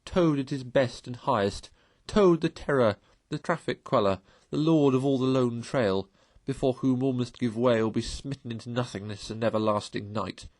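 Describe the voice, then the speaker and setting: A man tells a story in expressive read-aloud narration. His voice sits at a medium pitch, and the recording is dry and close, with very little room sound. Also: normal speed, hardly any pauses, no room sound. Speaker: storyteller reading a novel aloud.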